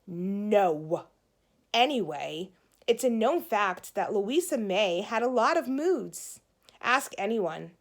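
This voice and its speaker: A woman's voice: mid-range voice, lively intonation, slow. A woman voicing a moody, bossy young big sister in a children's storybook, with an emphatic, snappy delivery. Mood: explaining, emphatic. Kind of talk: children's storybook read-aloud.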